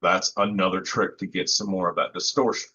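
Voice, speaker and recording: Man, low voice, bright tense tone, rambling casual chat, many fillers, dull lo-fi sound. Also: fairly even intonation, brisk, breathy edge. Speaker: musician discussing vocal recording.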